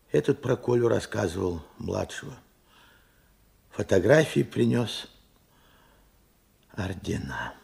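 An actor playing a weary older widowed steelworker who recalls his war losses in halting, reflective phrases, in Soviet radio drama style. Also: on the low side, creaky, a little mellow, breathy edge, heavily acted. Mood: sorrowful, subdued.

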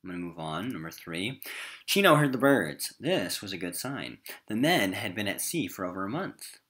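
A man's voice: fairly low pitch, sing-song, moderate pace, a bit bright, husky. A man with an American accent teaching a recorded literature lesson in an emphatic, informal classroom style.

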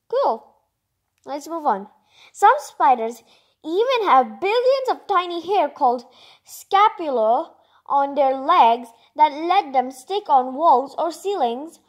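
A woman reading a children's picture book aloud, expressively and informally, with chatty asides and exclamations. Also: extremely high pitch. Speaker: read-aloud narrator.

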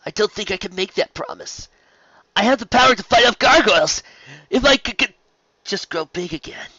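A man's voice: high-pitched, brisk, a bit bright, no echo, dull audio, pitched above the performer's own voice. A man voicing a young male dragon in a storybook reading, earnest and emotional, with easily wounded pride. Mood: defiant, urgent.

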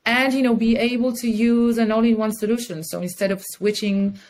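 A woman's voice: fairly high pitch, steady tone, a little fast, darkish tone. A woman speaking enthusiastically and conversationally as an interview guest on a video call. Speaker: podcast guest, communications head and mentor. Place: indoor room with curtains and plants, on a video call.

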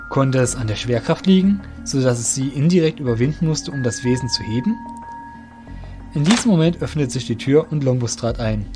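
A man reading scripted narration for an audio drama in a measured storytelling way. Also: a little high.

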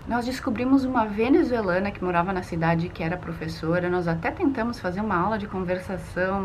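A woman's voice: mid-range voice, a little hiss or hum. A woman in her forties with a southern Brazilian accent, talking expressively to the camera as a channel host, recorded outdoors in a garden. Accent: southern Brazilian Portuguese. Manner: chatty, expressive. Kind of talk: casual vlog narration.

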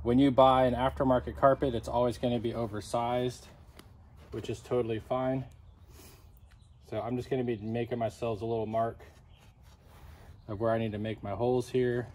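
An American man in middle age talks through a hands-on repair job in a casual, plain-spoken way. His voice sits at an average pitch. He is recorded outdoors on a camera mic, with noticeable ambient noise around him. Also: little pitch movement, moderate pace, pauses often, darkish tone. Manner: plain-spoken, step-by-step explaining. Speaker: DIY car repair host. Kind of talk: casual hands-on tutorial narration. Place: outdoors on a patio and driveway, and at a car.

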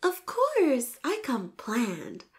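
A woman acts out a sweet schoolgirl in love, her voice high and swooping. She speaks slowly and shyly, stammering when flustered and dropping into breathy whispers. It is an intimate romantic roleplay, bashful yet insistent. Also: huge pitch swings, heavily acted. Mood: bashful, hopeful.

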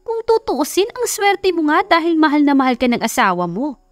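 Woman voicing an earnest middle-aged mother, pitched high. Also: very animated, normal speed, dry recording, clean studio sound. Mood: pleading, reproachful. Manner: firm, emotional, pleading. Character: earnest, pleading middle-aged mother scolding her adult son. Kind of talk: dramatized audiobook romance reading.

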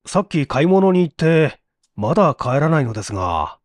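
A man's voice: a little high, very animated, moderate pace, dry recording. A man playing a polite, fretful workshop assistant with a restless, questioning manner in a story reading. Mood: inquisitive.

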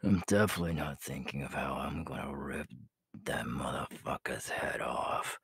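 A man voicing a cocky, possessive, cruel young werewolf king in an ASMR audio roleplay, husky and breathy, often dropping to a whisper, with a teasing, menacing manner. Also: a bass voice, fairly bright tone, heavily acted. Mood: jealous, menacing. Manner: slow, teasing, menacing drawl.

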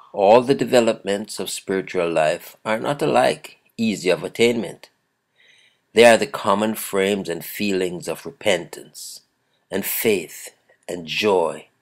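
A man with a croaky voice giving a daily devotional, chuckling often and reading scripture aloud with emphasis. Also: low voice. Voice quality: gravelly. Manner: chuckles often between phrases. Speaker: devotional host.

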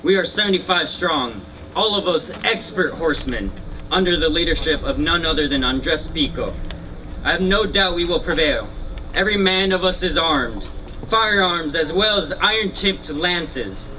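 Man acting a proud Californio horseman, formal, boastful, higher-pitched, forceful, outdoor stage from far off. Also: animated, normal speed, a little hiss or hum. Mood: proud, defiant. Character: proud, defiant Californio rancher's son, expert horseman. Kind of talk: live scripted historical reenactment reading. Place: outdoor stone amphitheater in open scrubland. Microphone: stand mics, recorded from a distant camera.

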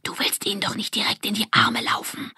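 A woman's voice: brisk, sharp tone, no room sound, whispered, studio quality. A woman voicing a bold, spirited girl who leads a gang, in a commanding manner. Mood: urgent.

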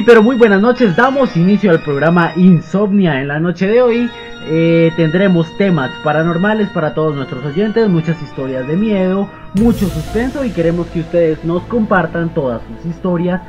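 A man's voice: fairly high pitch, expressive, moderate pace, music in the background, close to an everyday voice. A young man playing an earnest, self-serious paranormal radio host, emphatic and repetitive, in a comic drama. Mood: excited. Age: young adult. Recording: dull lo-fi sound.

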